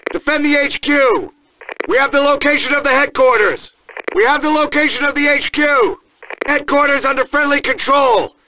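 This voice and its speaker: An actor playing a fierce American Marine sergeant, barking commanding announcements in a military video game. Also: male voice, very high voice, normal speed, sharp tone, slight background noise, low-quality recording. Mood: urgent, fired up. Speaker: multiplayer announcer.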